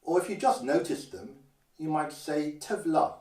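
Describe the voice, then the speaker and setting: An older man from Guernsey teaching basic phrases in a measured, careful way and slowing down to model each phrase. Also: a little high, expressive, a little mellow. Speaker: language teacher. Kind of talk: instructional explanation. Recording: roomy echo, a little distant from the mic.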